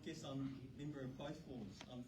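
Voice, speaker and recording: A man answering at a candidates' forum, relaxed and a little halting. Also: mid-range voice. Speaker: council candidate. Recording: echoey hall, distant mic, hiss.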